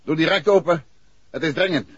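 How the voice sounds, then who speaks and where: An actor playing a decisive, commanding gentleman detective in a 1960s radio drama. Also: a little high, brisk, background noise, lightly acted. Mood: insistent. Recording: dull vintage radio drama recording.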